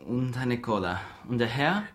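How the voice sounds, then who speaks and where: An actor playing a courteous restaurant waiter, polite and deferential, in a German radio comedy. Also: male voice, medium pitch, expressive, a little slow, natural voice. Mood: polite, attentive.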